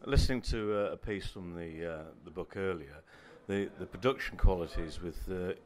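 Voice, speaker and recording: A fairly low-pitched man interviews guests politely. He introduces each guest in a formal way before asking his questions. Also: moderate pace. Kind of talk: local interview.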